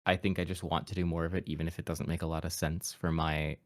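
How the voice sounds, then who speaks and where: A man with a very low voice and a neutral mid-Atlantic American accent, speaking as a podcast guest. He talks in a reflective, self-deprecating way and laughs easily. The sound is dry and close, with no room echo. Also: little pitch movement, fast. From Appalachian region of the United States. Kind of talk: casual conversational interview.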